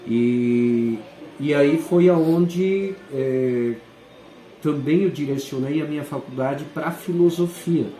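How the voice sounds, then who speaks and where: Principal, 40s, warm mellow tone, halting delivery, roomy echo. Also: male voice, a little high, a little hiss or hum. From southern Brazil. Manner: hesitant, pausing often to search for words. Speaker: school principal. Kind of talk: answering interview questions. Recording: echoey room.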